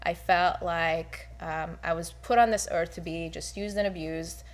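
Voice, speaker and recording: Candid young woman, low voice. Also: fairly even intonation, unhurried. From Hungary. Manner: candid, with many fillers. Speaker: guest. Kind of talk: personal testimony in an interview.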